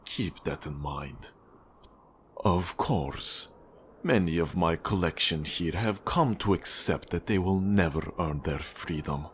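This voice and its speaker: An actor playing a cold, menacing Russian mafia boss in an ASMR roleplay, with a Russian accent and a deliberate, condescending manner. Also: male voice, deep voice, slight background noise. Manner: slow, deliberate, controlling, condescending. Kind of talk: ASMR roleplay monologue addressed to the listener.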